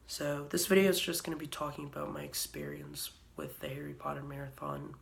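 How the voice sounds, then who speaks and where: A young man vlogging casually, hoarse from a cold and a sore throat, rambling with frequent ums and uhs. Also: fairly high pitch, wildly swooping pitch, brisk. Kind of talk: casual vlog review.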